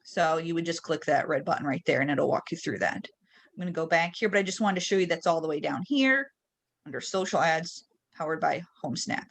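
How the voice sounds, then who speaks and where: A woman with a slightly low voice is leading an online training webinar. She talks conversationally through software settings step by step, often putting questions to her listeners as she explains. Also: animated, a little fast. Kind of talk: informal screen-share tutorial.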